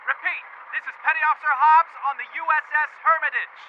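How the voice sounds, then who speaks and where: High-pitched, urgent navy radio operator. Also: male voice, fairly even intonation, brisk. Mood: brisk. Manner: clipped, urgent. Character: urgent young navy petty officer on patrol boat. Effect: two-way radio filter. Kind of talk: radio drama acting, military radio calls. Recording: muffled, noisy two-way radio transmission.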